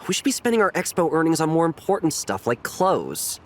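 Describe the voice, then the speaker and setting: A woman's voice: sits low, big pitch swings, a little fast, slight background noise. A woman voicing a sensible, dismissive young child in a video game, speaking matter-of-factly. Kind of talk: game voice acting.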